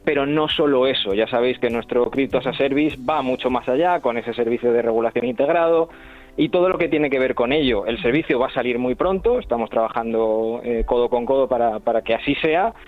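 A man's voice: mid-range voice, a little fast. Man, company guest, stumbles and repeats himself, narrow phone-like sound. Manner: hesitant, repeats words. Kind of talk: radio interview.

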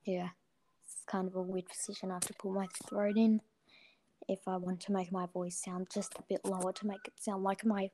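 Young woman gamer, guest, halting, lots of ums; cheap mic sound. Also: medium pitch, flat delivery, dark tone, a little hiss or hum. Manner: hesitant, many ums and trailing sentences. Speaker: interview guest, gaming YouTuber. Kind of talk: casual chat. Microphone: cheap built-in mic.

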